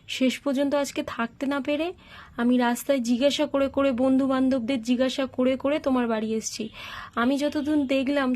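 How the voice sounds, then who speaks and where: A woman's voice: sits high, darkish tone, lightly acted. A woman voicing a polite, earnest young man who is a suitor in a homemade doll story.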